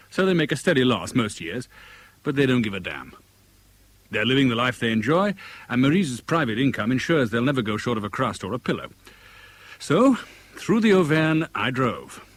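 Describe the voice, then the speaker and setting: Wry British banker, very expressive intonation. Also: male voice, medium pitch, husky. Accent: British English. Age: 40s. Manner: measured, dryly formal, articulate. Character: wry, orderly forty-year-old sacked merchant banker, male. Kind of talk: 1970s British radio drama acting, first-person narration. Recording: 1970s radio recording, faint background noise.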